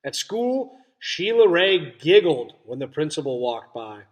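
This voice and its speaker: A man's voice: a little high, big pitch swings, a little slow. A man teaching young children through a picture book read-aloud, explaining simply and checking in with questions.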